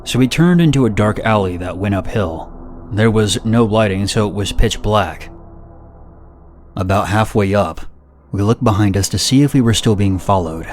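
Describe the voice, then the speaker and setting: A man reading first-person horror stories in a steady, measured, somber narration. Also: on the low side, a little hiss or hum.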